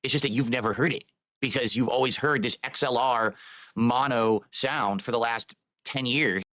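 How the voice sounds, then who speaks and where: A man's voice: mid-range voice, moderate pace, pushed and bright, dry recording. Rambling man testing his mic. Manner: rambling, fiddling with audio settings. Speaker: streamer. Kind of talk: live stream chat.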